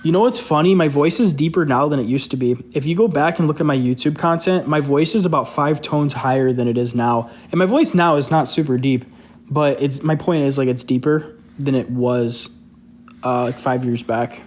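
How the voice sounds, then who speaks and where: A man's voice: mid-range voice, normal speed, darkish tone. A young man chatting casually on a live stream, loose and candid, filling his talk with "like". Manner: loose and candid, heavy use of "like". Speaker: social media creator hosting a live stream.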